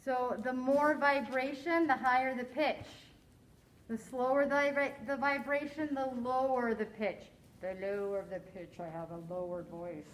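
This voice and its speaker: Slow, deliberate high-voiced woman teacher. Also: fairly even intonation, low-quality recording. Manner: slow, deliberate, quizzing students. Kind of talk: classroom science lesson.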